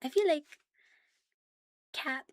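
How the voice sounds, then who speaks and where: A woman streaming ASMR in a soft, breathy, often whispered voice, playful and intimate, close to the microphone. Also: slow, no room sound. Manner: playful, giggly, intimate, lingering on repeated words. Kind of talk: ASMR roleplay with casual rambling to stream viewers.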